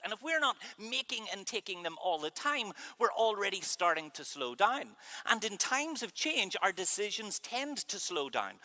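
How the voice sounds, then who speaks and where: A man in his thirties with a Northern Irish accent, giving a comic keynote in a large conference hall with deadpan, teasing asides to the audience. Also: sits high. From Northern Ireland. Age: 30s. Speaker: keynote speaker.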